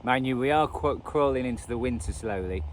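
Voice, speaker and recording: A man talks casually as a hobbyist video host, in a rambling, self-deprecating way. His voice sits at a middling pitch. The recording is outdoors, with clear background noise behind him. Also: a little fast. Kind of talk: casual unscripted commentary. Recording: noisy outdoor recording, radio static and traffic.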